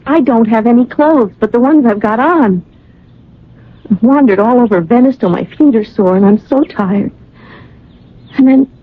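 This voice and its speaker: A woman's voice: fairly high pitch, lightly acted. An actress playing a lovesick, dreamy young woman in a radio drama, breathless and pleading. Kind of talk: radio drama acting with narration. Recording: tinny old radio recording with music.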